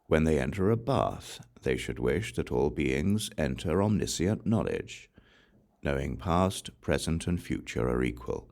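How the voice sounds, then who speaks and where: A man with an extremely deep voice reads scripture aloud in a solemn, measured way. His delivery is slow, yet the pitch rises and falls in a lively cadence. It is a scripted audiobook recitation. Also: gravelly. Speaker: audiobook reader.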